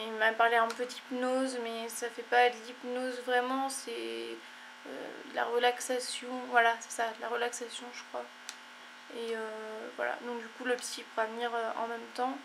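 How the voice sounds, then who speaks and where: A woman's voice: on the high side, unhurried, creaky, background noise. A young woman vlogging casually at home, rambling with many fillers, sounding congested and tired. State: stuffy, congested. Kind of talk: casual chat to camera.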